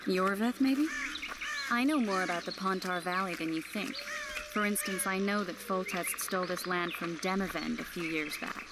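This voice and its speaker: An actress playing a confident, pert sorceress companion in a video game. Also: female voice, fairly low pitch, moderate pace, close to an everyday voice. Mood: knowing. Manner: assured, pert. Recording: loud background noise.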